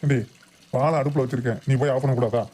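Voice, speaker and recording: A man's voice: medium pitch, steady tone, fast talker, mellow, natural voice. A man voicing a boastful, grumbling husband and father in a comic cartoon. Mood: exasperated. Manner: blustering, complaining.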